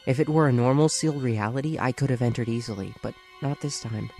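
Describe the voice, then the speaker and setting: A man playing a calm, polite young man who now appears as a glowing spirit, speaking smoothly with a light teasing edge. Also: mid-range voice, quick pace, close to an everyday voice. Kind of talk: fan audiobook acting. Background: music.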